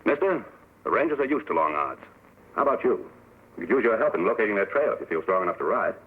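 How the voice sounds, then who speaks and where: An actor playing a steadfast Texas Ranger captain, brisk and authoritative, in an old-time western radio drama. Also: male voice, lowish voice, very animated, breathy edge. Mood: resolute, commanding. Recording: tinny, noisy old radio recording.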